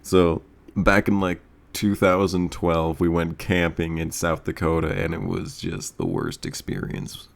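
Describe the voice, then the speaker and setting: A man's voice: deep voice, unhurried, gravelly. A man telling a casual, profane comedic story in a rambling way, often repeating himself and stammering. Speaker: storyteller and video creator.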